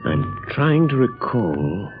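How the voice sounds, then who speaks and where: An actor playing an urbane, shrewd gentleman sleuth, suave and thoughtful, in a 1930s radio drama. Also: mid-range voice, big pitch swings, takes it slowly. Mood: grave, resolved. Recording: muffled 1930s radio recording with music.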